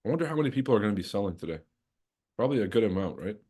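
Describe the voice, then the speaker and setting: A man's voice: on the low side, big pitch swings, rapid-fire, dark tone, breathy edge. A young man hosting a trading livestream, chatting loosely with his chat, joking and swearing freely.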